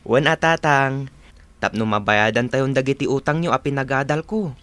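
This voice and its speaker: A man's voice: mid-range voice, normal speed, a bit bright, no room sound, close to an everyday voice. A young man with a northern Philippine accent playing a dutiful, reassuring son in a radio drama. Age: young adult. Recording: music under radio drama.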